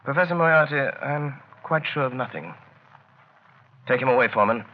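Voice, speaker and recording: An actor playing an urbane, coolly confident gentleman detective, speaking precisely and unhurriedly in a theatrical 1930s radio drama style. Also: mid-range voice, animated, fairly quick, close to an everyday voice. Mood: wry, dry. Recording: muffled, noisy 1930s radio recording.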